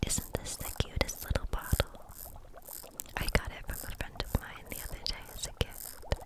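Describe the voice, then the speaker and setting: A woman playing a gentle, soothing kitchen witch in a whispered ASMR roleplay, speaking softly and breathily with a caring manner. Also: close to an everyday voice. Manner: soft, slow, caring, unhurried.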